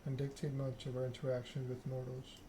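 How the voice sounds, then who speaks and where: A man's voice: medium pitch, completely flat delivery, a little fast, noisy background. A man doing an ASMR read-aloud of a narrative game, occasionally stumbling over the written text. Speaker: gaming channel host.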